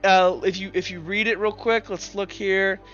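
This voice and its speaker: Dull lofi recording w music behind, high voiced guy game mastering, kinda rambly. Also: flat delivery, moderate pace. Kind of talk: running a tabletop roleplaying game casually.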